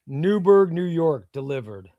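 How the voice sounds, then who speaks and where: Man, Illinois-raised, native Spanish speaker, livestream host, rambling offhand talk, fairly high pitch, dry sound. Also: expressive, measured pace, dark tone, dry recording, lo-fi sound. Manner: rambling, offhand, swears casually. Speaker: comic book seller and livestream host. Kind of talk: casual livestream chat, reading viewer comments.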